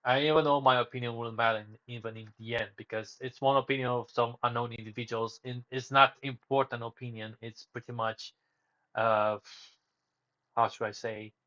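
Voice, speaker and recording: A man's voice: mid-range voice, fairly even intonation, normal speed, sharp tone, highs cut off. Rambling man streaming. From Romania. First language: Romanian. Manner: rambling, self-correcting, drifts off topic. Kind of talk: casual solo livestream chat.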